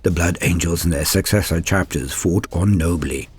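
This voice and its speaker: A man giving a grave, theatrical reading of scripted war lore as a narrator, in measured phrases. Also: low-pitched, slightly rough. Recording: voice over background music. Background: music.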